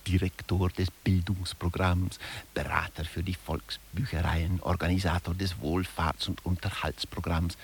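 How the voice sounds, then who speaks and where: A man's voice: deep voice, flat delivery, unhurried, gravelly, a little hiss or hum. A man playing a pedantic professor in a satirical radio play, summing up findings with emphatic, scripted delivery. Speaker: lecturer. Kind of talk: scripted satirical lecture narration.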